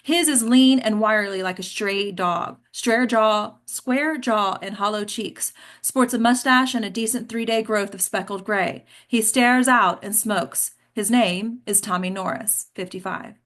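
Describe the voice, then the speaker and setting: A woman's voice: medium pitch, unhurried, hardly any pauses, fairly bright tone. A woman reading stage directions aloud as the narrator at a script table read.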